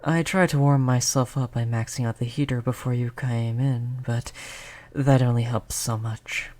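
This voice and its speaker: A performer voicing a gentle, polite vampire in an intimate roleplay audio, speaking in a soothing, conversational way at a medium pitch. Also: fairly even intonation, moderate pace, lightly acted. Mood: gentle, reassuring. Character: gentle, reassuring vampire, eighty years old, eternally polite. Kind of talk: roleplay audio drama, intimate one-on-one talk to listener.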